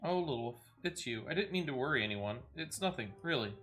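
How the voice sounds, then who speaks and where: A man's voice: medium pitch, lively intonation, quick pace, a bit bright, close to an everyday voice. A man voicing an earnest, polite small female receptionist from a fantasy video game, speaking formally and humbly. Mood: bashful. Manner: formal, deferential fantasy phrasing.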